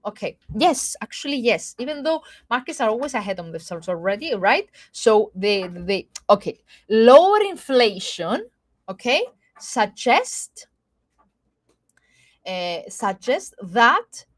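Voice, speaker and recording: Greek Cypriot woman analyst, halting. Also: a little high, very animated. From Cyprus. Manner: halting, many fillers and restarts; checks in with "okay?" often. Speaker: market analyst. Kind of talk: live market webinar.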